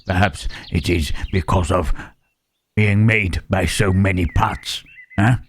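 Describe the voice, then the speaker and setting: A man voicing a gentle, self-loathing Frankenstein's monster in an ASMR roleplay, speaking in halting, formal phrases with a husky, rough voice. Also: low-pitched, fairly even intonation, a little hiss or hum. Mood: pained, confused. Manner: halting, formal phrasing with searching tag questions. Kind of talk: slow ASMR roleplay monologue.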